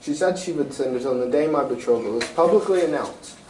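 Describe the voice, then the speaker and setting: An amateur actor, a man, playing an anxious, proud European king in a radio drama style, formal, grave and halting. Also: mid-range voice, a little mellow, close to an everyday voice. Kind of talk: 1940s-style radio mystery acting. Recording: roomy, distant stage recording with faint noise.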